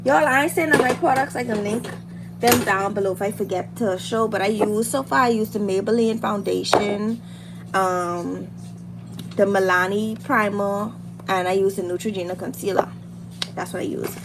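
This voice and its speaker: A woman's voice: medium pitch, moderate pace. A woman with a Bahamian accent chatting casually and encouragingly as a livestream host, in informal speech that repeats phrases for emphasis. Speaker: livestream host, beauty and hair content creator. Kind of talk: casual chat while doing makeup, motivational girl talk. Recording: loud background noise on livestream.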